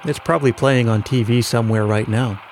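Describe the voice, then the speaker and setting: A man's voice: mid-range voice, a little fast, a little hiss or hum. An American man narrating a scripted podcast as its host. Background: faint music.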